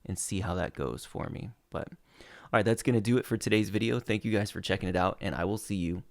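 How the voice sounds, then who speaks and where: Low gravelly guy narrating his replays, voice going, dry close mic. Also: a little fast, dry recording. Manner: relaxed play-by-play with frequent uh fillers. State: losing his voice. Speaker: gaming channel host. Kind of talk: commentating over gameplay replays.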